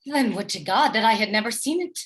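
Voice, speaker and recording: A high, slightly breathy-rough actress as a young noble wife in a Shakespeare radio drama. She speaks her verse earnestly and courteously, gentle and trusting in temper. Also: expressive, brisk. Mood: anxious. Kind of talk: Shakespearean radio play acting.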